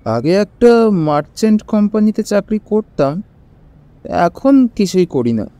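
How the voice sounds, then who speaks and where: An actor playing a melancholy, timid middle-aged gentleman in an audio drama, soft-spoken and hesitant with frequent little "ah" pauses. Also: sits high, sing-song, dry recording. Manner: hesitant, halting with frequent sighing "ah"s.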